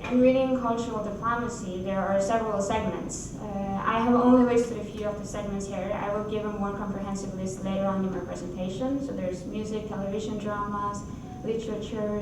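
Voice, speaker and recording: Female academic presenter, twenties, careful and halting delivery. Heavily echoing hall, distant mic, noticeable noise. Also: medium pitch, steady tone. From Norway. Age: 20s. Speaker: academic conference presenter, graduate student. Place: university conference hall.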